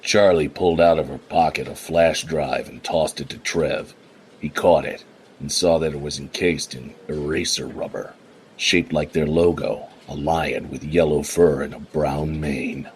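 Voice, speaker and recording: A man narrating a noir radio play in a measured, even storytelling way. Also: rumbling low, a little hiss or hum. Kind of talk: scripted narration.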